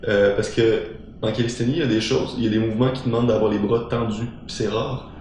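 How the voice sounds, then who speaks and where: A man's voice: lowish voice, steady tone, fast talker, darkish tone, a little hiss or hum. A young man from Belgium, a calisthenics trainer being interviewed, explaining at length with many fillers and restarts. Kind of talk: casual interview talk. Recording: very echoey room.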